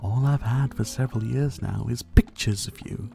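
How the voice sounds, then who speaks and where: A man's voice: mid-range voice, normal speed, creaky, mellow, faint music behind, close to an everyday voice. A man playing a possessive, flirtatious and condescending rich dictator in an intimate ASMR roleplay, doting on the listener with pet names. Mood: smug, flirtatious. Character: possessive, teasing male yandere dictator, rich and menacing.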